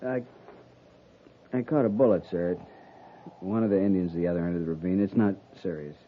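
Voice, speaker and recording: A man's voice: low voice, brisk, lightly acted. An American man playing a dutiful, hesitant cavalry lieutenant who speaks deferentially, in 1950s radio drama style. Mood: strained, hesitant. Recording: dull, noisy 1950s radio recording.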